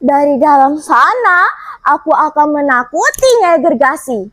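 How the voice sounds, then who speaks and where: A woman's voice: sits high, sing-song, a little slow, fairly bright tone, heavily acted. A woman voicing a tiny, brave young man in a folktale, bold, insistent and cocky. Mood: determined. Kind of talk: theatrical folktale storytelling.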